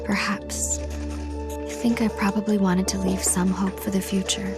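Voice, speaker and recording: A woman's voice: mid-range voice, level tone, a little slow, loud music behind the voice, natural voice. Woman acting a solemn young female soldier. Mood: sorrowful. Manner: earnest, solemn. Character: grave, idealistic young female psychic soldier. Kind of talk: dramatic video game voice acting.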